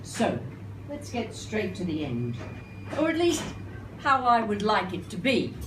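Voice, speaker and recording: An older woman performs a spoken-word poem at an unhurried pace, weighing her phrases with a wry, theatrical touch. She is picked up from a distance in a reverberant room, with clear noise on the recording. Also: mid-range voice, huge pitch swings. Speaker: performance poet and singer. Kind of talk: live spoken-word poetry recital. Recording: roomy, noisy live recording, distant mic.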